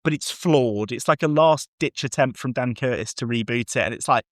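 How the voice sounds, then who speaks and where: A man's voice: medium pitch, normal speed, a bit bright, dry recording, studio quality. A man talking casually and enthusiastically as a guest on a review podcast, effusive in his opinions.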